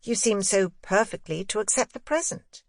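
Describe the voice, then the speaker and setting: A woman's voice: medium pitch, moderate pace, dry recording, clean studio sound, lightly acted. A woman playing an anxious, tender governess who questions a child earnestly in a literary audiobook. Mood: probing. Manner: earnest, probing. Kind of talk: audiobook dialogue, literary ghost story.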